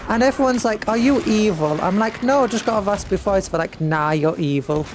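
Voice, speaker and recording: A British woman streaming a game, chatting playfully and excitably. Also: mid-range voice, fairly quick, noisy background. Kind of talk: casual gameplay commentary.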